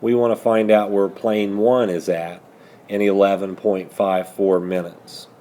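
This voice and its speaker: Male algebra teacher, mellow voice, takes his time, asks step by step questions, bit of room noise. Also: sits low, steady tone, a little slow. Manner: patient, methodical, prompting with questions. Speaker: high school algebra teacher. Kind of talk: classroom math lesson, questioning students step by step.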